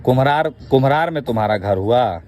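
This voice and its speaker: A man's voice: mid-range voice, lively intonation, fairly quick, a little mellow. A man with a Bihari accent in an informal phone conversation, asking pressing questions in a colloquial way and cutting in. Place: phone call.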